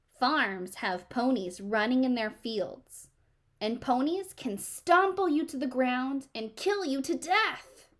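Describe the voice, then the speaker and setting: A woman's voice: high-pitched, takes it slowly, voice pushed up. A woman voicing a dramatic, stubborn, frightened little girl from a storybook, in a childlike, emphatic way. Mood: alarmed.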